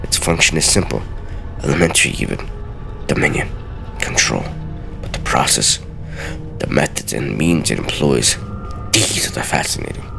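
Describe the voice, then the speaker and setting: Male let's play host, extremely low gravelly voice, theatrical reader, game music underneath. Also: animated, moderate pace, long pauses. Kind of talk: game commentary with dramatic reading of in-game texts.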